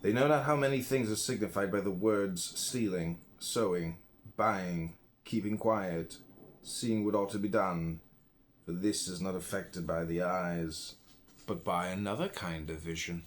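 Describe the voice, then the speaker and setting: A man reading an old philosophy book aloud in measured phrases, pausing between them. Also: sits low, a little hiss or hum. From United States. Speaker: audiobook reader.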